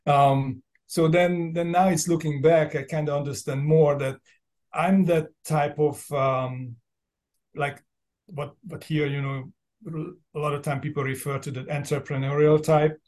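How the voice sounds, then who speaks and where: A man in his fifties from Hungary, a native Hungarian speaker with a Hungarian accent, talking casually and haltingly as an interview guest. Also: fairly high pitch, steady tone, a little mellow. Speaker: podcast guest, entrepreneur and business coach. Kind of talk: casual personal storytelling in an interview.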